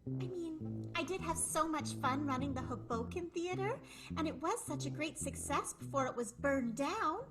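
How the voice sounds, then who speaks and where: High, lively, eager starlet played by a woman. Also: normal speed, over music. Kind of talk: improvised comedic radio play acting.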